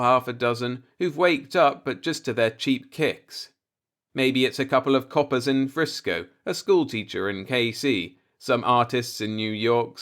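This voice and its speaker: A man's voice: mid-range voice, fairly bright tone. A man reading the part of a brooding, disillusioned professor in an audiobook, musing in a pointed, rhetorical monologue. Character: brooding, disillusioned male professor philosophizing bitterly.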